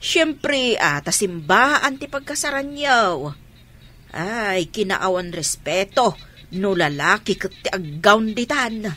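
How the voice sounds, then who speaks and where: A woman's voice: mid-range voice, lively intonation, takes it slowly, sharp tone, heavily acted. A woman with an Ilocano accent playing an exasperated but indulgent middle-aged mother in a radio drama.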